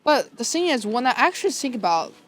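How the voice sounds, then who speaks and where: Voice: sits high, big pitch swings, fast, fairly bright tone, slight background noise. A podcast co-host from Shanghai with a Chinese accent, speaking English as a second language, hesitant and searching for words with many fillers. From Shanghai, China. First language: Chinese (Shanghainese, Mandarin). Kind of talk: casual conversational chat.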